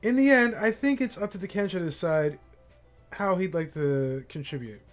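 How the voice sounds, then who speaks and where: A man voicing a confident young woman who leads a club, with a brisk, take-charge delivery. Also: high voice, faint music behind, natural voice.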